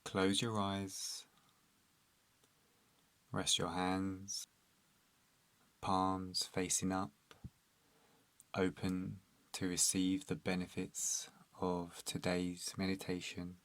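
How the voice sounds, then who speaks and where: Male meditation guide: calm and soothing, extremely monotone delivery, very dry close recording. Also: low voice, dry recording. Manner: calm, soothing, instructional, with long pauses.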